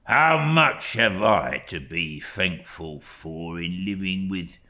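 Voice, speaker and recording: A man voicing an oily, falsely humble young law clerk in an audiobook, with slow, obsequious phrases that sink into a hush. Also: deep voice, lively intonation, full character voice. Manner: slow, obsequious, drawn-out, hushed phrase endings. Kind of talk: audiobook character acting.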